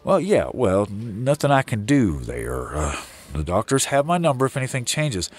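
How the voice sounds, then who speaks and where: An actor playing a weary, skeptical sheriff in an audio drama. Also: male voice, lowish voice, wildly swooping pitch, moderate pace, husky, full character voice. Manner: weary, sceptical. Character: weary, skeptical small-town American sheriff.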